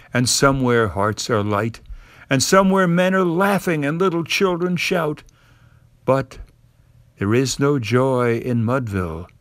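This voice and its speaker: A man reading a poem aloud as a dramatic recitation, with theatrical, measured and emphatic delivery. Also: medium pitch, smooth, dry recording, clean studio sound. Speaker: poem reader.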